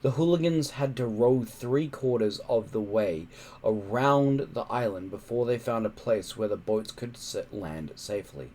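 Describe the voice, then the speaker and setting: A man reading a children's adventure story aloud in a dramatic, suspenseful way, with emphatic pauses. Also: fairly low pitch, expressive, dark tone, a little hiss or hum. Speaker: storybook reader.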